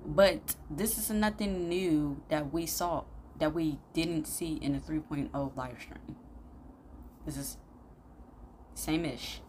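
Low-pitched young woman, noisy recording. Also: takes it slowly, a little mellow. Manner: blunt, slangy. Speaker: reaction video host. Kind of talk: casual reaction commentary. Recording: clearly noisy room recording.

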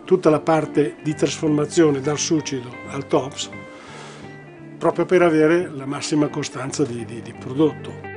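A man's voice: fairly high pitch, unhurried. An older man recollecting in a documentary interview. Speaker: textile company head. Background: plucked guitar music.

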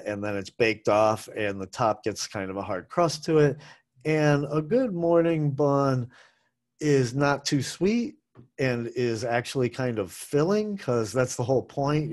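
A man's voice: mid-range voice, a little slow, a little mellow. A middle-aged man talking through a food review in a loose, rambling way, correcting himself as he goes, into a desk microphone at home. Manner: rambling, chatty, with self-corrections and asides. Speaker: food vlogger. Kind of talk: casual food review monologue. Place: small home room with posters. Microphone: desk microphone on a boom arm, webcam framing.

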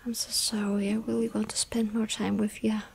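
A woman voicing a clingy, lovestruck young female vampire in an ASMR roleplay, whispering softly throughout. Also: mid-range voice, little pitch movement, moderate pace, gravelly, slight background noise, natural voice. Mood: tender whisper.

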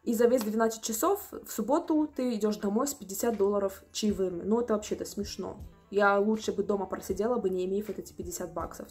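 Indignant young woman vlogging at home. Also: medium pitch. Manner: animated, indignant, acts out customers' voices. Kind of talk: casual storytelling to camera. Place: small room at home, indoors.

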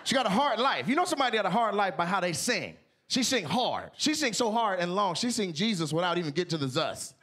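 Loud, high-voiced stand-up comic from Seattle. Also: male voice, fast. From Seattle, Washington. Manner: loud, animated storytelling with shouted punchlines. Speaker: stand-up comedian. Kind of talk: stand-up comedy. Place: large TV studio stage with live audience. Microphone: handheld mic.

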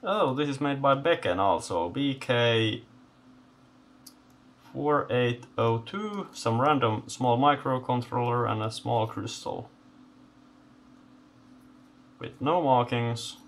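A man giving unscripted hands-on commentary as he takes electronics apart, halting and thinking aloud in short phrases. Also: medium pitch, animated, fairly bright tone. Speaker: host of electronics teardown videos. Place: indoor electronics workbench.

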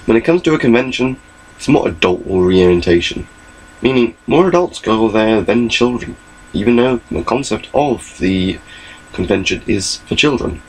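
A man's voice: fairly low pitch, expressive, moderate pace, breathy edge, poor audio. A young man giving a rambling, chatty webcam update from his small bedroom, recorded on his laptop mic. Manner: rambling, chatty, gestures a lot. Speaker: vlogger.

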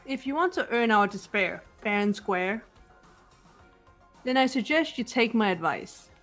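A woman voicing a cool, composed teenage girl detective in a game, measured and challenging. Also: a little high, expressive, a bit bright, soft background music. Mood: firm, challenging. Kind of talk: character voice acting in a game fan dub.

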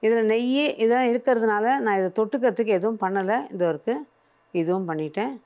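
A woman's voice: medium pitch, brisk, a little mellow, lo-fi sound. An older woman presenting a cooking show, patiently explaining each step as she works in a studio kitchen.

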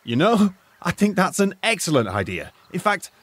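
An actor with a British accent playing a polite, sardonic retired archaeologist in an audio drama, hesitant and curious. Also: male voice, sits high, big pitch swings, moderate pace, fairly bright tone, slightly rough, no echo, full character voice. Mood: polite, persistent. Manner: muttering musings, hesitant, polite. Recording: faint ambient noise behind.

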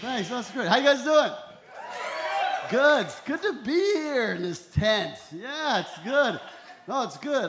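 A man in his forties doing a deadpan, self-deprecating stand-up routine into a handheld stage microphone. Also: a little high. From Ecuador and El Salvador. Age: 40s. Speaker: stand-up comedian. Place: small comedy venue in a tent, on stage. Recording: roomy live comedy club sound.

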